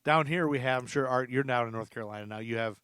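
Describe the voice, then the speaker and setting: A man's voice: mid-range voice, quick pace, fairly bright tone, clean tone, no room sound, studio quality. A man hosting a casual history podcast, chatty and rambling, laughing often. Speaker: podcast host. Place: lives in Charlotte.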